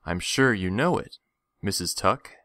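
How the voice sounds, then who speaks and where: A man playing a greedy, condescending villain in an audio drama, with theatrical, drawn-out phrasing. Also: low-pitched, animated, bright, forceful tone, no room sound, exaggerated voice. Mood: sly, taunting. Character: menacing, greedy, smooth-talking villain stranger, adult man.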